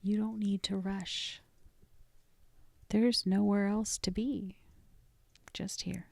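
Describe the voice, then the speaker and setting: A woman leading a guided meditation in a soft, gentle, deliberate voice that often trails toward a whisper, with frequent pauses. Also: medium pitch, level tone, vocal fry, no room sound. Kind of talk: guided meditation, scripted and soothing.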